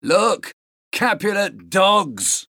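A woman's voice: mid-range voice, very animated, extremely slow, fairly bright tone, no echo, clean studio sound, full character voice. A woman voicing hostile, jeering servants spoiling for a brawl in a storybook reading. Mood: jeering, aggressive.

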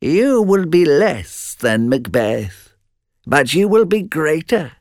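A man voicing eerie female witches from a storybook, calling out prophecies in drawn-out chants. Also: a little high, big pitch swings, dry recording, clean studio sound, pitched above the performer's own voice, exaggerated voice. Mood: cryptic chanting. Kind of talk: storybook narration.

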